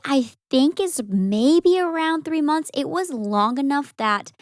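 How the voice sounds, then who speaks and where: A young woman co-hosting a podcast, telling a story in a casual, animated way with frequent laughs. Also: high-pitched, slow pace, dry recording, studio quality. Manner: animated, laughs often, acts out quoted voices.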